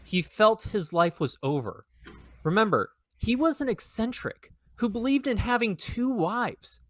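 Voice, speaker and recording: A man's voice: sits high, sing-song, a little slow, fairly bright tone, exaggerated voice. A man playing an earnest defending counsel, formal and persuasive, in an old-time radio drama. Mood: pleading. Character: earnest, pleading defending counsel addressing court and jury.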